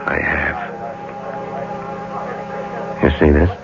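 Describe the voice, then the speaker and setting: A man's voice: rumbling low, little pitch movement, extremely slow, creaky, heavily acted. A man acting a cold, persuasive war veteran plotting revenge in an old-time radio drama, insistent and hard-edged. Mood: coldly determined. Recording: muffled vintage radio recording, music behind.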